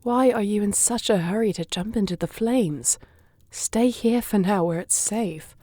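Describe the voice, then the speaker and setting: A woman's voice: medium pitch, measured pace, mellow, dry recording, natural voice. A woman playing a wry, shrewd thief for hire in a fantasy audio drama, with a dry, teasing, understated delivery. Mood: concerned. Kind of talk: fantasy audio roleplay acting.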